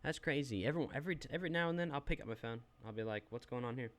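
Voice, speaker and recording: A man's voice: mid-range voice, animated, very fast, fairly bright tone. A young adult man giving a rambling, self-deprecating, unedited review of a phone app while sharing his screen.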